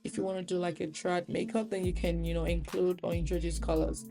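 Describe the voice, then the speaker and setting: A woman makeup artist talking through a tutorial in a relaxed, chatty voice-over, hoarse from a cold. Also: on the low side, brisk, music in the background.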